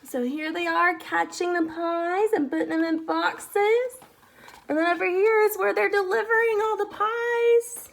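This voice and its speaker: A woman's voice: very high voice, steady tone, measured pace, vocal fry. A woman reading a picture book aloud for children as a storyteller, expressively, talking directly to her young listeners. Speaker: read-aloud host, storyteller.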